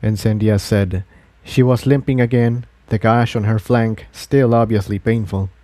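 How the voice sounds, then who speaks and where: A man's voice: fairly low pitch, steady tone, a little slow, mellow, dry recording. A man narrating a written adventure story as a reader of fan fiction.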